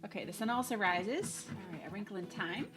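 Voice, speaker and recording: A woman in her thirties hosts like a school librarian, presenting to students in a casual, lightly planned way. Her pitch sits in a middle range for a woman. Music plays clearly in the recording. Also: expressive, a little fast. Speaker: school librarian hosting a virtual library show.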